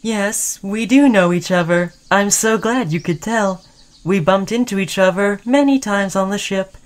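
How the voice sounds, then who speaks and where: A woman's voice: mid-range voice, unhurried, natural voice. Woman playing a caring, devoted robot companion. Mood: fond, earnest. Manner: chatty, soothing, addressing listener as human friend. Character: friendly, caring, obsessively devoted sentient robot crewmate. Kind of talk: scripted ASMR roleplay addressed to the listener.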